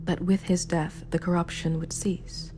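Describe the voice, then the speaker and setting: A woman playing a remorseful, sympathetic villain ruler in a scripted ASMR roleplay, speaking in a hushed, breathy, formal and measured way. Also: lowish voice, flat delivery, lightly acted. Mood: regretful. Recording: clear background music. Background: dark, spooky background music.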